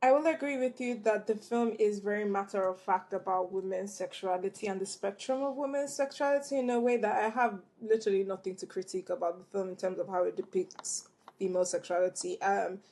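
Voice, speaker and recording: A woman's voice: medium pitch, a little mellow. A thoughtful woman podcast co-host. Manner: measured, thoughtful, weighs her words. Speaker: podcast co-host, film reviewer. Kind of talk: casual review chat.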